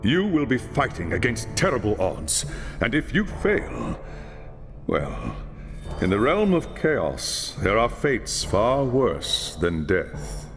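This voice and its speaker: Serious old lawmaster giving orders, very expressive pitch, big hall echo, music under it. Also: male voice, slow, creaky, exaggerated voice. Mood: grave. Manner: grave, commanding, briefing. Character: solemn older male high lawmaster, commanding mentor.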